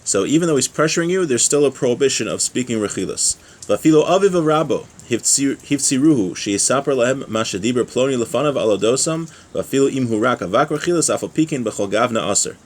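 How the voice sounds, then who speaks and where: A man's voice: medium pitch, lively intonation, fast talker, few pauses. A man giving an informal religious lesson, reading from a text and explaining it with small check-in questions. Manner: explanatory, with rhetorical check-ins like "right?". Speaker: religious teacher giving a class.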